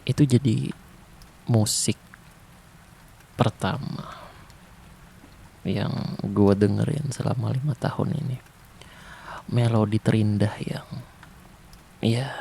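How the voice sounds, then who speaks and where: A young man doing a soft, intimate, teasing ASMR boyfriend roleplay. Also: on the low side, vocal fry, a little hiss or hum.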